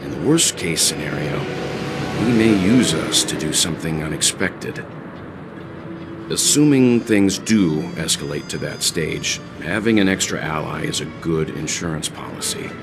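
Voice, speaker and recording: An actor playing a composed, analytical mature man in a video game, speaking in a measured, formal way. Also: rumbling low, lively intonation, background noise, heavily acted. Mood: grave, reasoning. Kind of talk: video game cutscene acting. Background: background music.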